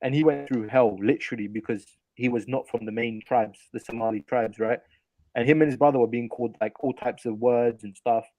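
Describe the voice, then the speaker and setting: A man's voice: mid-range voice, fairly even intonation, fairly quick, dark tone, dry recording, rough recording. A man speaking on a panel debate, laying out his argument and often checking in with "do you know what I mean". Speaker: panel guest.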